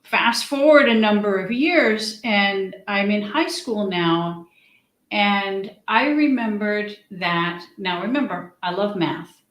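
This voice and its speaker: A woman's voice: medium pitch, slow, a bit bright. Hesitant woman in an echoey room. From United States. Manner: hesitant, frequent restarts and ums. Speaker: guest. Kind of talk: conversational interview.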